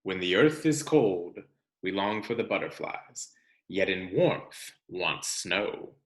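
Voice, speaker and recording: A man reading a children's science book aloud as a teacher, expressive and explanatory, speaking directly to young students. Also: deep voice, slow, echoey room.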